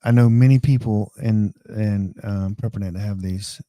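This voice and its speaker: A man's voice: fairly low pitch, steady tone, moderate pace, dark tone, no room sound. A man with an American accent hosting a casual live stream, rambling through tech advice.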